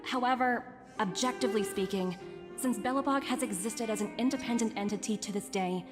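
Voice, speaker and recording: A woman playing a formal, firm, businesslike official in a game, speaking in a measured, diplomatic and articulate way. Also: fairly high pitch, over music, natural voice. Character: formal, businesslike female official negotiating firmly. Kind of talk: English game voice acting. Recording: long reverberant hall effect.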